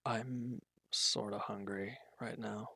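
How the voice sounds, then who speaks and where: A man playing an injured, weary villain in a roleplay audio drama, guarded and quietly considerate, speaking in halting, hesitant lines. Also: fairly even intonation, vocal fry, husky, no room sound, clean studio sound, full character voice. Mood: weary, reluctant. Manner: hesitant, halting, low-key, grudging. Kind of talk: roleplay audio drama, intimate one-sided dialogue.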